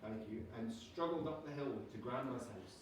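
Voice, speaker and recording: A man, a teacher, reading a storybook aloud to children in a dramatic, drawn-out way. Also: medium pitch. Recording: echoey room, distant mic, background noise.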